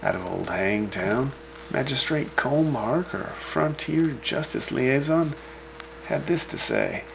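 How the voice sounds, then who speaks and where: A man hosting a scripted frontier radio news broadcast, reading the items in a dry, deadpan way with wry asides. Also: mid-range voice, slow pace, creaky, noisy background. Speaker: radio host.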